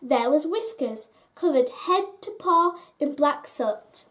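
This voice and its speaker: Female narrator for children's bedtime tales: high register, very measured pace, expressive and deliberate. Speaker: storyteller reading aloud.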